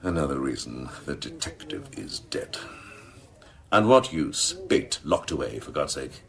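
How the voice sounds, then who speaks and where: A scheming senior policeman in a radio drama. His voice is extremely low and gravelly, and it swoops with theatrical, cynical relish as he savours every sardonic phrase. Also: sing-song, measured pace, whispered, heavily acted. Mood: gleeful, emphatic. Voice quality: very deep, gravelly.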